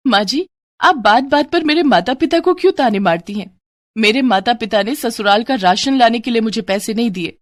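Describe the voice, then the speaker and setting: A woman's voice: fairly high pitch, lively intonation, normal speed, no echo, pitched above the performer's own voice, lightly acted. A woman voicing a young newlywed daughter-in-law in a moral-story cartoon, polite, hurt and pleading. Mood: indignant.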